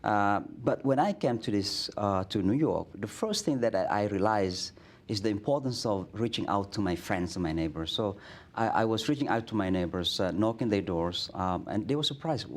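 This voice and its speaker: A man's voice: fairly low pitch, animated, normal speed. A middle-aged man with an Indonesian accent, a guest imam telling stories in a studio interview, earnest, with many restarts and fillers.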